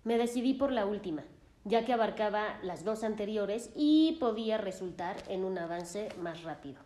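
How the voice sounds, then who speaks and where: A woman therapist who hosts a podcast and narrates a story from her sessions in a calm, reflective way. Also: mid-range voice, normal speed. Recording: roomy echo.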